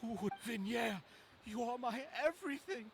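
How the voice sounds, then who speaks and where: A man playing a desperate, obsessed older villain in a fantasy game, pleading in a halting, anguished way for his lost love. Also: extremely high pitch, expressive, a little hiss or hum. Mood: desperate longing. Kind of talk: dramatic video game voice acting.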